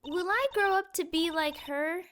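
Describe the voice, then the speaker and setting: A woman's voice: very high voice, moderate pace, background noise. A woman playing an eager, naive teenage girl assistant in a fan-dubbed game, wide-eyed and a little hesitant. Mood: timid, dismayed. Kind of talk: fan-dub game acting.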